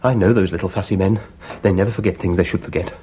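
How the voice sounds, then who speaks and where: An actor playing a nervous, dry-witted gentleman with an upper-class English accent, flustered and evasive, in a farce. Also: low-pitched, fairly even intonation, quick pace, slight background noise, natural voice. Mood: anxious, indignant. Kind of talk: theatrical farce acting.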